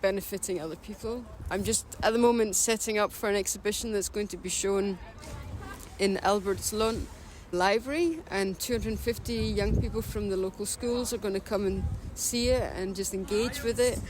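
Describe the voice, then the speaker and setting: A woman's voice: medium pitch, normal speed, poor audio. A woman with a Scottish accent speaking candidly and reflectively in an outdoor interview, often restarting her sentences. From Scotland and Denmark. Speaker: interview guest. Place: outdoors by a city river. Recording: outdoor recording with loud street noise. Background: traffic and city ambience.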